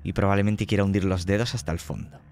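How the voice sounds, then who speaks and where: A man's voice: low-pitched, fairly even intonation, fast, darkish tone, a little hiss or hum. A man narrating a tabletop horror game as game master, describing scenes vividly and dramatically.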